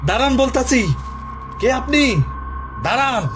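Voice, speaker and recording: A man's voice: extremely high pitch, moderate pace, over music. A man playing an anxious village man spooked by a ghost in an audio horror drama, with rustic Bangladeshi speech. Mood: alarmed, demanding.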